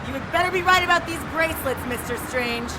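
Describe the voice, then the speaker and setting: An actress in an audio drama playing a sarcastic, exasperated, bossy female police detective with a sharp, commanding manner. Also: sits high, quick pace, a crowd in the background, noisy background, lightly acted. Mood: angry, worried.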